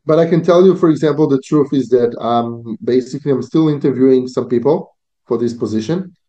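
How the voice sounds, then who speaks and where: Hesitant guy, repeats himself a lot, mellow voice, dull muffled call audio. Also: medium pitch, brisk. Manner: hesitant, repeats words, stumbling phrasing. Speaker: client interviewing freelancers. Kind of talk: business call.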